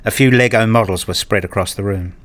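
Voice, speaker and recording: A man's voice: lowish voice, a little fast, fairly bright tone, lightly acted. A man playing an earnest married father and hobbyist, narrating and acting his scripted story in a radio play. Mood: descriptive. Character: eager married father and hobbyist, a little rueful. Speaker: narrator and main character.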